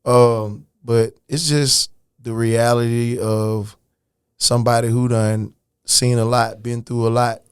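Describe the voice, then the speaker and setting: A man's voice: medium pitch, level tone, slow pace, clean tone, dry recording. Laid-back frank man in a dry studio. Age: adult, older than his early years. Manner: laid-back, frank, profane, restarts and "you know what I'm saying". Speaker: rapper guest. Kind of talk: casual podcast interview.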